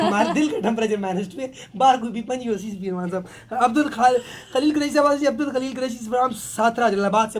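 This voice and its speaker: A hoarse man hosting a live stream, chatting casually and greeting viewers by name as he reads their comments. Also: very high-pitched. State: hoarse from a sore throat.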